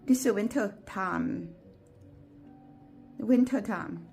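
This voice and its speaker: A woman with a Thai accent, a native Thai speaker, giving an unscripted walking tour of her garden in a gentle, halting way, with many fillers and restarts. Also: medium pitch, creaky, faint music behind. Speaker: gardening vlogger.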